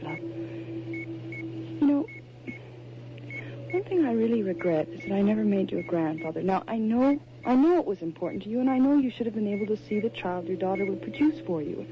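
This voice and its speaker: A woman's voice: medium pitch, natural voice. An actress in a radio drama playing a moody, reflective woman telling her own story, on a dull, hissy recording. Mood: tender, sorrowful. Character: moody, reflective city woman narrating her strange tale. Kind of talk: first-person radio drama narration.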